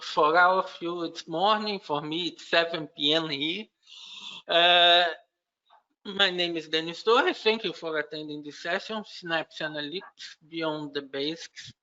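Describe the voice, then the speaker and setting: A man with a Brazilian Portuguese accent walks a remote audience through a technical topic, pausing often with "uh" and restarting phrases. He talks slowly, and his voice has a bright, forward edge. The recording is a bit dull and lo-fi. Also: a little high, steady tone. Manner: unhurried, halting explanation with frequent "uh" fillers and restarts. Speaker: conference speaker. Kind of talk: technical conference presentation.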